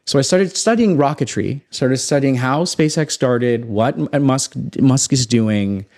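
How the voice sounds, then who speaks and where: Young Toronto man, North American accent, mid-pitched voice, self-assured podcast guest with emphatic storytelling. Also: a little slow, a little mellow. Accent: North American English. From Toronto, Canada. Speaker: guest, space company founder. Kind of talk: casual podcast interview.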